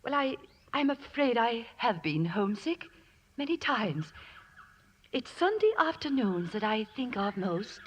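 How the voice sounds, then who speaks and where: A prim, proper spinster in an old radio play. Her voice is high and lilts expressively, with formal, hesitant courtesy. There is faint noise from the vintage recording. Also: very animated, unhurried. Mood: reserved, genteel. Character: prim, polite, devout spinster lady in Africa. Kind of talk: classic radio drama acting.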